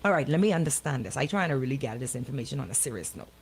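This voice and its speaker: A woman's voice: very deep voice, sing-song, very fast, a little hiss or hum. A woman hosting a casual live talk show, questioning people incredulously and repeating her words for emphasis. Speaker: radio show host.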